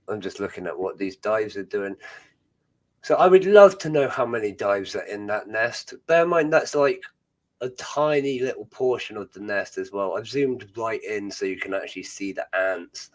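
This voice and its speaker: A man's voice: lowish voice, rough recording. A young British man hosting a livestream, chatting in a loose, rambling way with frequent laughs. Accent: British English. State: chest infection. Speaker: host. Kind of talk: casual livestream chat.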